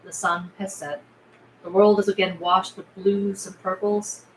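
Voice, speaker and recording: A woman with a mid-pitched voice narrates screenplay directions. She is recorded in a roomy, echoing space with faint noise behind her. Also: little pitch movement, measured pace. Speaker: reader of stage directions. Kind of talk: scripted narration at a table read. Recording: echoey room, faint background noise.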